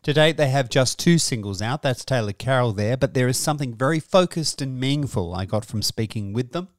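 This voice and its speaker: Male music podcast presenter. Middle-register voice, earnest and measured, dry studio sound. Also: mid-range voice, expressive, no room sound. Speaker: podcast host. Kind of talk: scripted music-news presenting.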